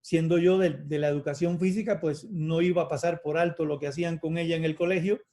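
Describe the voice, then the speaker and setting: A man's voice: on the high side, fairly even intonation, warm tone. A man, a university lecturer giving a reflective talk, full of fillers and restarts. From Cuba. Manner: hesitant, many fillers and restarts; measured and reflective. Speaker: university lecturer in physical education. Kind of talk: academic conference talk.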